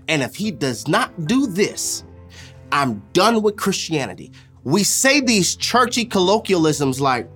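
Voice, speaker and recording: A preacher with a mid-pitched voice delivers an impassioned sermon. The delivery is emphatic and repetitive, moving between forceful exhortation and hushed, deliberate lines, with music underneath.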